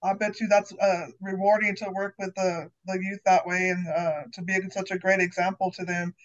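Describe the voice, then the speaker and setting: A woman's voice: medium pitch, little pitch movement, normal speed, lo-fi sound. A woman hosting a podcast, a teacher herself, asking friendly, rambling questions with many fillers. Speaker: podcast host. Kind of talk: casual podcast chat.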